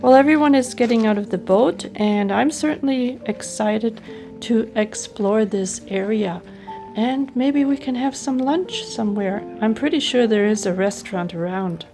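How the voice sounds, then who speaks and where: A woman's voice: fairly high pitch, moderate pace, mellow, faint music behind. A woman narrating her personal travel vlog in an enthusiastic, appreciative way. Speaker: travel vlogger.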